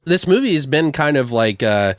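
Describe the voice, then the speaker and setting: A man's voice: a little high, very animated, normal speed, dry recording, studio quality. A man co-hosting a podcast, chatting casually through entertainment news in an informal, slangy, sweary way. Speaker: podcast co-host. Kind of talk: casual chat about horror film news.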